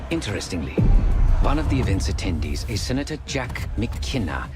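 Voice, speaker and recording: A man's voice: lowish voice, very animated, moderate pace. An actor playing a dry, wry male tech operative in a video game cutscene. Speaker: tech specialist on a covert team. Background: music.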